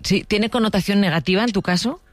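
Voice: medium pitch, fast talker. A radio talk show host interviewing guests with many questions. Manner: attentive, asks many questions.

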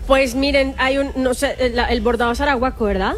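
A woman's voice: sits high, fairly even intonation, moderate pace. A woman co-hosting a live radio show, chatty and playful with her guests. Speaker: radio co-host.

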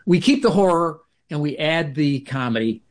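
A man's voice: fairly high pitch, animated, moderate pace. A man from upstate New York, a guest improviser, telling stories in a casual radio interview with many restarts and self-corrections. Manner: rambling, self-correcting storyteller.

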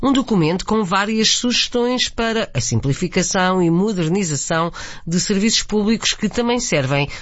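A man's voice: high-pitched, animated, normal speed, fairly bright tone, dry recording, highs cut off. A man with a European Portuguese accent reading scripted radio news as a presenter.

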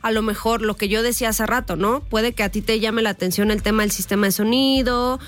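A woman's voice: fairly high pitch, fairly even intonation, a little fast. Teasing woman co-host. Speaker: car show co-host. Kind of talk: casual radio car talk.

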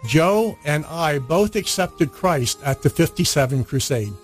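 A man's voice: on the high side, animated, unhurried, soft background music. An older man whose first language is Hungarian, a retired missionary telling his story in an earnest, recorded interview.

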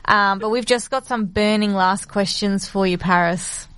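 A woman hosts a radio interview at a middle pitch. She asks questions and keeps affirming her guest. Her manner is steady and encouraging throughout. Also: moderate pace, smooth, no echo. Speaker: radio host.

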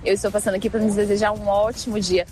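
A woman's voice: medium pitch, little pitch movement, fast, crowd noise. A woman from Espírito Santo sending an effusive, exclamatory voice message full of affection for the host. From Espírito Santo, Brazil.

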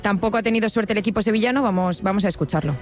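A woman's voice: medium pitch, very fast, music in the background. A woman with an accent from Spain, co-hosting a radio programme in a conversational style. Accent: Spanish from Spain. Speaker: radio co-host.

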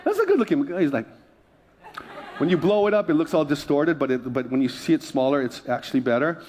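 Male pastor from Hawaii, higher-than-average pitch, sermon delivery, emphatic and repetitive. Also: big pitch swings, a little fast, a little mellow, some chatter behind, slight background noise. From Hawaii, United States. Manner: emphatic, repeats phrases, prompts the listeners. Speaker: pastor preaching to a congregation.

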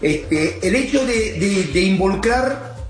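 A man's voice: high voice, expressive, slow pace, roomy sound, soft background music. A man talking casually as a guest soccer coach, explaining emphatically in a rambling way and checking that he is followed. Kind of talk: casual interview talk.

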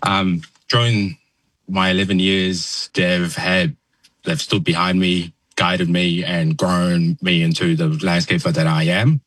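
Quiet deep-voiced young Kiwi man. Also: little pitch movement, no room sound. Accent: New Zealand. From New Zealand. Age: young adult. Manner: soft-spoken, halting, many ums. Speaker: guest landscaper. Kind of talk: radio interview answers.

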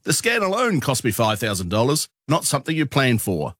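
Husky man in a testimonial advert. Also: medium pitch, wildly swooping pitch, a little fast, a bit bright, no room sound, clean studio sound. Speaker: advert voice, introduced as estate agent.

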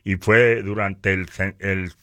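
A man from northern Mexico with a Mexican accent, giving a conversational religious testimony in a halting way, repeating words. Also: deep voice, steady tone, fairly bright tone, dry recording.

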